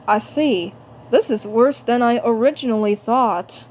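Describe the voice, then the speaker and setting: A woman voicing a caring male cartoon helper, earnest and reassuring, in a deliberate, repetitive delivery. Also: a little high, a little mellow, lightly acted. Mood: serious, determined. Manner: deliberate, repeats phrases. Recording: faint background noise.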